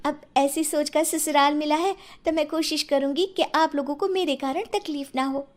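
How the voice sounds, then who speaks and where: This is a woman acting a meek young daughter-in-law in a moral story. The voice is very high. She sounds timid, bashful and pleading. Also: steady tone, normal speed, close to an everyday voice. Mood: apologetic. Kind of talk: acted Hindi moral story.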